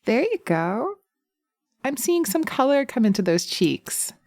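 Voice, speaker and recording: A woman voicing a poised, nurturing, gently bossy witch in an audio roleplay, with a genteel, coaxing and chiding manner. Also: on the high side, very animated, a little slow, no room sound, clean studio sound. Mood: soothing.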